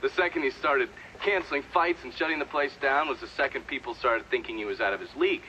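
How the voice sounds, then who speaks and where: An actor playing a shrewd, sardonic intelligence operative in a video game, dry and matter-of-fact. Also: male voice, medium pitch, quick pace, pushed and bright, lo-fi sound, a little hiss or hum, close to an everyday voice. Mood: matter-of-fact recounting. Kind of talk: cinematic game dialogue, part recounted testimony.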